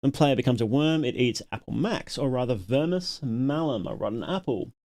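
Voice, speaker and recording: A man's voice: mid-range voice, lively intonation, moderate pace, darkish tone. Casual man reacting on a livestream. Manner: muses aloud, reads viewer comments. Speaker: livestream host reacting to a horror series.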